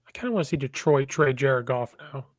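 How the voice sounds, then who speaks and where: A man co-hosting casual sports talk, hoarse and offhand. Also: mid-range voice, brisk, no echo, studio quality. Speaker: co-host.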